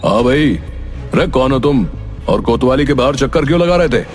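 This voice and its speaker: A man's voice: medium pitch, lively intonation, normal speed, faint music behind, close to an everyday voice. A man voicing a stern, threatening village police chief in a cartoon moral story, scolding and giving orders. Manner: commanding, scolding. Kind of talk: animated Hindi moral story acting.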